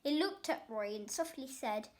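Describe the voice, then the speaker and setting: A child storyteller giving an expressive reading of a rhyming story. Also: high voice, normal speed.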